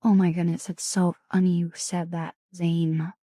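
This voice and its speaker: A woman with a raspy, somewhat low voice chats softly in an ASMR stream, often sinking into whispers. Her delivery is slow and unhurried, with a mellow, dark tone. The sound is dry and close. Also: little pitch movement, dry recording. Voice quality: raspy, deeper than usual. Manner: soft-spoken, often drops into whispers; chatty, greets and thanks viewers. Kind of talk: casual ASMR live-stream chat.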